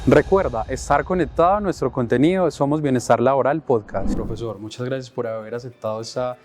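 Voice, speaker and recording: A man hosts a podcast, speaking in an earnest way and pausing to restart while he frames his questions. His voice is at an ordinary pitch, and soft music plays faintly underneath him. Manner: earnest, many fillers and restarts when asking questions. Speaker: podcast host. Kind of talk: podcast interview hosting.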